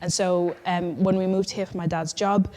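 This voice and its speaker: A woman's voice: fairly low pitch, level tone, normal speed, mellow. A young woman guest in a casual interview, telling her story in a chatty, reflective way with many fillers. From grew up in Norway, then the UK. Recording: long reverb, faint background noise.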